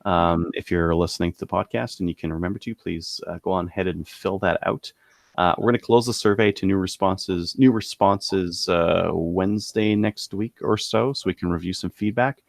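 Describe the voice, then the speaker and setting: A man co-hosting a podcast, casually running through products in a halting, thinking-aloud way. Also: sits low, steady tone, creaky, dry recording, clean studio sound. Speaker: podcast co-host presenting gun deals.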